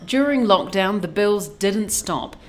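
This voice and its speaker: A woman's voice: medium pitch, lively intonation, slow, slight background noise. A woman with a New Zealand accent narrating a scripted news feature as a reporter.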